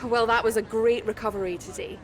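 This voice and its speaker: An actress playing a brisk, professional female motorsport interviewer in a video game. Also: on the high side, steady tone, noisy background, close to an everyday voice. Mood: inquisitive. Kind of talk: scripted post-race interview in a video game.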